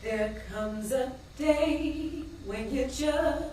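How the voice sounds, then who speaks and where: Stage drama: actress playing a grieving daughter, very high-pitched, pleading and drawn-out, captured from afar in a strongly echoing space. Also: female voice, a little mellow. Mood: anguished, pleading. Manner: emotive, pleading, drawn-out delivery. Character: grieving, despairing young woman pleading for her lost mother. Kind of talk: stage play monologue breaking into gospel-blues song. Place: stage in a theatre. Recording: echoey stage, distant mic, faint noise.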